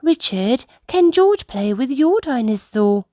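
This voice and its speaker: A woman voicing a gentle, coaxing mother rabbit in a children's storybook. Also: sits high, very animated, slow, no echo, studio quality, pitched above the performer's own voice, heavily acted. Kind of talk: children's storybook read-aloud.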